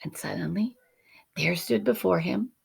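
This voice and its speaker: Slow, creaky-voiced woman reading a storybook. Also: on the low side. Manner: measured, dramatic, dropping to hushed tones. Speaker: teacher reading a picture book. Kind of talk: expressive storybook narration for children.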